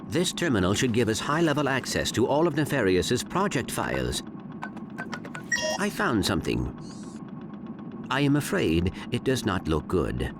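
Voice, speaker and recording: A man voicing a small, polite, literal-minded robot sidekick with formal, measured phrasing in a cartoonish video game. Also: mid-range voice, big pitch swings, music in the background. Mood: matter-of-fact.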